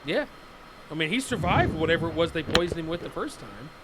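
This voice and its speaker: Male streamer, lively up-and-down delivery, slightly high voice, rambling and excitable, noisy game audio behind. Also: fairly quick. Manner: excitable, rambling, restarts sentences. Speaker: livestream host. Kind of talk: casual gaming chat.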